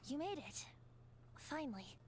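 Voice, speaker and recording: A woman's voice: sits high, sing-song, a little slow. A woman voicing a playful, boyish ancient wind spirit in a video game, telling stories in a light, lilting, theatrical voice. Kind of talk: video game voice acting, lyrical storytelling.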